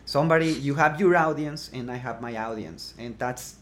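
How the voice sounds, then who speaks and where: A man from Mexico with a Mexican Spanish accent, speaking as an interview guest in a casual chat, pausing often with fillers and restarts. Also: medium pitch, fairly bright tone. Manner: hesitant, many fillers and restarts. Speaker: guest, blogging course creator.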